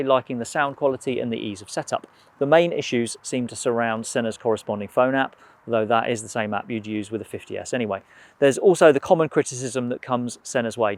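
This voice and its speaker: A man with a British accent and a mid-pitched voice narrates a scripted product review. He speaks as a presenter, in a measured way, setting out each point in a clear structure.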